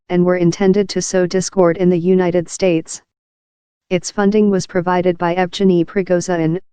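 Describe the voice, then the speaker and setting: A woman reading a scripted news article aloud as a narrator, in a formal, measured and impersonal way. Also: fairly low pitch, mellow, smooth, dry recording.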